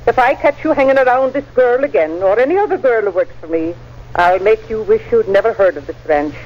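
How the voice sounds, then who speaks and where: A woman's voice: on the high side, lively intonation, brisk. An actress playing a threatening, scornful ranch woman in old-time radio style, curt and commanding. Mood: menacing. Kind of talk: 1940s radio acting. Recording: dull old radio drama recording.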